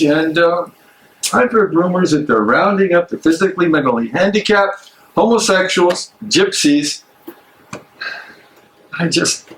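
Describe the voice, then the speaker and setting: A man acting a troubled, earnest German theologian in a live dramatic reading, speaking haltingly. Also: on the high side, fairly bright tone, poor audio. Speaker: actor in a dramatic play reading. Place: public library meeting room. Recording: live library room, faint background noise.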